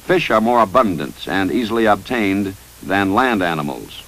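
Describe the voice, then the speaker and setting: A man with an American accent reading a scripted 1950s instructional film narration in a measured, authoritative, instructive manner. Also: fairly low pitch, expressive, fairly bright tone, low-quality recording. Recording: 1955 training film soundtrack.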